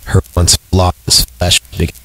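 A man's voice: low voice, monotone, moderate pace, a bit bright. A man hosting a podcast, reading a horror story aloud in a sardonic way, with mocking asides. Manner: sardonic, reads punctuation aloud. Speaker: podcast host reading creepypasta. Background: background music.

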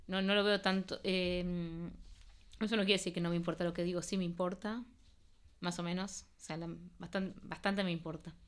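A woman's voice: on the low side, flat delivery, moderate pace. A woman with an Argentine accent, an artist being interviewed, talking in a playful, ironic way and laughing often. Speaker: artist guest. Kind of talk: casual interview talk.

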